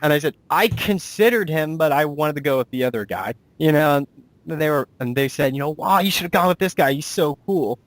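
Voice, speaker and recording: A man's voice: a little high, lively intonation, a little fast, dry recording. A man hosting a casual interview as a director, explaining things in a rambling way and restating himself. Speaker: interviewer and director of an amateur audio drama.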